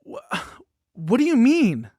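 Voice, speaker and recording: A woman's voice: on the high side, wildly swooping pitch, slow pace, mellow, no echo, clean studio sound, heavily acted. A woman acting as a cheerful, naive library book in a musical puppet show, earnest and questioning. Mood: puzzled, innocent. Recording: music behind the voice.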